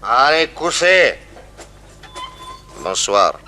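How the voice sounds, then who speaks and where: An actor playing a drowsy, eccentric gatekeeper with a sluggish, deadpan manner in a 1960s French radio drama. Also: male voice, medium pitch, extremely slow, noisy background. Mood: sleepy, indifferent. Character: drowsy, eccentric gatekeeper munching peanuts, calming his dog.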